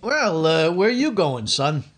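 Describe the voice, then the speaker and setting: Slow, blustery radio sitcom dad played by a man. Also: on the high side, very animated, fairly bright tone, exaggerated voice. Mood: wistful. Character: bumbling, sentimental working-class husband and father. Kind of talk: 1950s radio sitcom acting.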